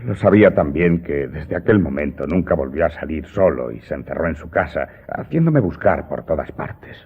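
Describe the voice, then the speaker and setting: A man acting in a radio drama as a vengeful Corsican servant confessing to his master, telling his tale deliberately and dramatically with emphatic outbursts. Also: very deep voice, very animated, husky, exaggerated voice. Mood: tense recounting. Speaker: voice actor in an audio drama. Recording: dull, muffled audio with loud music underneath.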